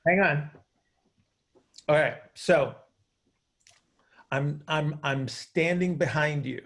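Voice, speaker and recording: Creaky-voiced man coaching informally. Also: on the high side, slow, fairly bright tone. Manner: informal, hands-on, checks understanding with short tag questions. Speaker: host and coach. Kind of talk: casual coaching explanation on a group call. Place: group video call.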